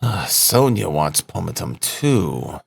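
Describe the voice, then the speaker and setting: A man's voice: low-pitched, big pitch swings, takes it slowly, gravelly, slightly rough, full character voice. Man playing brooding, sardonic young man. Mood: bitter musing. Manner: bitter, sardonic, musing. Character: brooding, cynical young man thinking aloud. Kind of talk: audiobook character acting.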